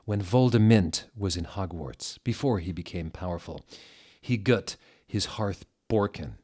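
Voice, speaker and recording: Man playing an ominous, slow-speaking female seer professor. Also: deep voice, a little mellow. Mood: ominous, grave. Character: grave, mysterious female fortune-telling professor.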